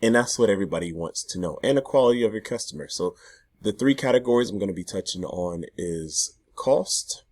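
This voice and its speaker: A man in his thirties speaks in a very low, hoarse voice, chatty and loose, often restarting his sentences. He talks straight to the camera from his home garage. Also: expressive, brisk, a little mellow. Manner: chatty, loose, self-interrupting. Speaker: affiliate marketing vlogger. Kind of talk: casual talk to camera.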